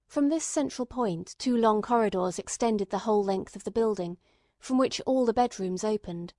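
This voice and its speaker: Woman narrating an old mystery novel, mid pitch, really dry recording. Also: a little mellow, dry recording. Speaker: audiobook narrator. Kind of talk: scripted narration of classic mystery fiction.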